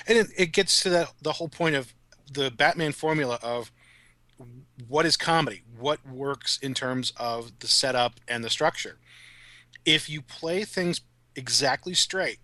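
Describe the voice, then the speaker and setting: A man talks casually as a podcast guest, with a crisp, bright edge to his voice. He is articulate and enthusiastic, like a writer discussing his work. The sound is very dry, with no room around it. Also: a little high, no room sound. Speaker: guest, writer of audio dramas.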